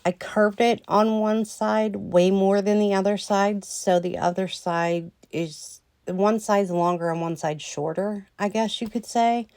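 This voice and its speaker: Woman doing a nail tutorial voiceover, kinda hoarse and gravelly, slow and rambly, dry sound. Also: mid-range voice, steady tone, a little mellow, dry recording. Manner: slow, rambling, many ums. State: losing her voice a little. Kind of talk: casual voice-over tutorial.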